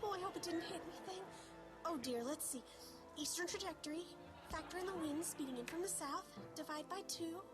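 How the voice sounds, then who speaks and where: A high-voiced, bookish young mare from a cartoon, acted by a woman, fretting and exasperated with dramatic flair. The voice plays over speakers in a panel room with music behind it. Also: fairly quick. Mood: panicked. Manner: dramatic, exasperated, talks to herself. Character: bookish, fretful young female cartoon pony. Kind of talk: animated fan audio drama acting.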